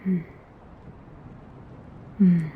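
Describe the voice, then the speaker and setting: A woman playing a courteous, commanding vampire noblewoman in an ASMR roleplay, speaking in a soft, mostly whispered voice with genteel, formal phrasing. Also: phone-quality sound, slight background noise. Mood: politely insistent. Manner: genteel, unhurried, formal wording.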